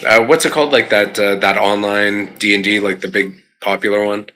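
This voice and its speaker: A man's voice: lowish voice, steady tone, brisk, bright, forceful tone. A man in a casual conversation, talking as a panelist on a gaming show. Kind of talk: casual chat.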